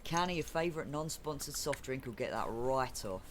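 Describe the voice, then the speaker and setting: A breezy British island mechanic with a thieving streak played by a man in a comic audio drama. His voice is mid-pitched, wry and matey, over faint vehicle ambience. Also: animated, normal speed, background noise, natural voice.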